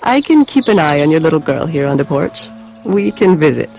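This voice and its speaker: An actress playing a gentle, weary adult mother in a video game, speaking softly and reflectively. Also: deep voice, very animated, a little fast, creaky, faint music behind, full character voice. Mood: kindly. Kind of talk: naturalistic video game acting.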